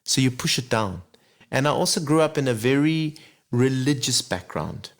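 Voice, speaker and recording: Male interview guest, mid pitch, reflective and earnest. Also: lively intonation, normal speed. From Zimbabwe. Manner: reflective, earnest, many "you know" asides. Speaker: guest singer. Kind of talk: casual interview chat.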